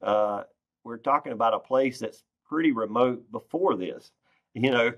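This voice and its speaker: A man's voice: medium pitch, moderate pace, muffled recording. A man, giving earnest, plain-spoken interview answers as a farm organization president over a video call. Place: wood-paneled conference room indoors. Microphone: video call, computer microphone.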